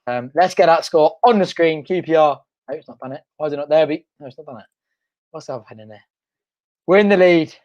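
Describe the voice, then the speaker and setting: Young man, slightly high voice, excitable fan commentary, hoarse from shouting. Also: brisk, highs cut off. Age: young adult. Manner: excitable, shouting and chanting at goals. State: losing his voice. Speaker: fan channel host. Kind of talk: live football watch-along commentary. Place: home front room.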